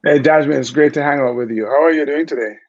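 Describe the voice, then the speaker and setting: Gravelly Jamaican man, dull dry audio. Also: on the high side, quick pace, dry recording. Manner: relaxed, chatty, frequent fillers and laughs. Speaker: interview guest, former Olympic bobsledder. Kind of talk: casual storytelling interview.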